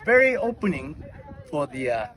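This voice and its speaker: A middle-aged man from Japan speaking English with a Japanese accent. He is a taiko musician giving an interview outdoors, with lively, loosely phrased non-native English. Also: a little high, normal speed. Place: outdoor memorial gathering. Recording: crowd noise around outdoor mic.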